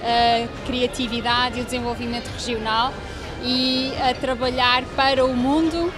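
A young woman speaks in a fairly high, level voice. Her answer is formal, with music clearly behind it. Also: level tone, unhurried. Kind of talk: formal interview remarks. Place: busy indoor reception hall.

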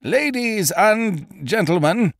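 A man performs a flustered male master of ceremonies from a storybook music hall. He pitches his voice high and stretches his words out slowly, and he swallows his H's. The delivery is loud, with a lively swing, and the sound is close and dry. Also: creaky, full character voice. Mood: flustered announcing. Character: nervous stout male theatre announcer, pompous.

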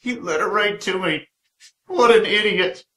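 A man's voice: high voice, unhurried, sharp tone. In a roomy, echoing sound, a beaten-up, bitter ex-lover of a demon in an audio drama. He is strained and defiant, spitting his words with a sneer. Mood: scornful. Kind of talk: radio drama acting.

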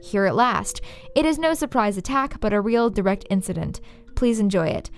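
A woman's voice: mid-range voice, normal speed, gravelly. A woman hosting a scripted video essay, narrating in a wry, playfully sarcastic way. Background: faint background music.

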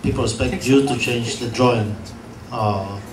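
A man's voice: medium pitch, a little slow. A man in his thirties on a panel with a handheld mic, giving practical advice with hesitant fillers. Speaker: panelist, engineer. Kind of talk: panel discussion. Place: classroom panel table. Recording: distant echoey room with noise.

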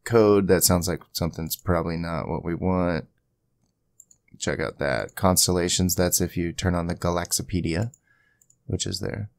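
Guy doing a laid out step by step pc tutorial, thinking out loud, gravelly, really dry close sound. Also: low voice, moderate pace, no echo. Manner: informal, thinking aloud. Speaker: tutorial host. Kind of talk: casual screen-capture software tutorial.

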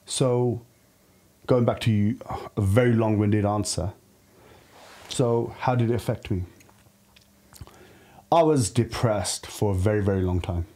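Mellow, low-pitched man, candid podcast guest. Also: measured pace, long pauses. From Lahore, Pakistan. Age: early thirties. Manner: candid, informal, reflective. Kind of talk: casual personal storytelling. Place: podcast studio. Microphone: studio podcast microphone.

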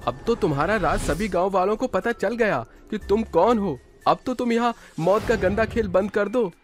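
A man's voice: high voice, moderate pace, faint music behind, pitched above the performer's own voice, close to an everyday voice. A man voicing an indignant young village man making demands in a horror cartoon. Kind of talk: cartoon dialogue.

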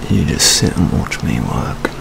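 A man performs a world-weary British police detective for an ASMR crime roleplay. His low voice crackles with fry and moves slowly and deliberately. Steady rain noise runs behind the hushed delivery. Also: full character voice. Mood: wry, weary. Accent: British English. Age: 20s. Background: rain sounds.

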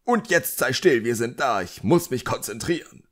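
An imperious, pompous master magician from a fantasy audiobook, played by a male narrator. He scolds and threatens deliberately in a mid-pitched voice, expecting obedience. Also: lively intonation, natural voice. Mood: bossy.

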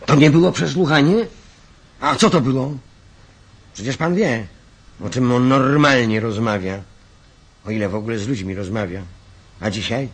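A man's voice: mid-range voice, moderate pace, pauses often, lightly acted. An actor playing a suspicious, fearful male passenger in a radio drama, firing short insistent questions and repeating himself. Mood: suspicious, hushed.

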